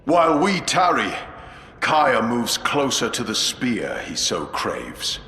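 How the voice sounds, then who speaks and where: An actor playing a grave, wary veteran space marine commander in a game cutscene, formal and measured. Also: male voice, mid-range voice, huge pitch swings, bright, forceful tone, reverberant, exaggerated voice. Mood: solemn, wary. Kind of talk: dramatic game cutscene acting.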